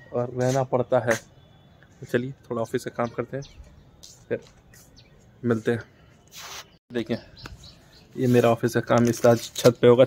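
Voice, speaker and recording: A man's voice: mid-range voice, slight background noise. A young man casually narrating a home vlog into a handheld phone, chatty and showing viewers around. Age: young adult. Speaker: vlogger. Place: rooftop and rooms of a house. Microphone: handheld phone camera. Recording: vlog with loud music underneath. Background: loud background music.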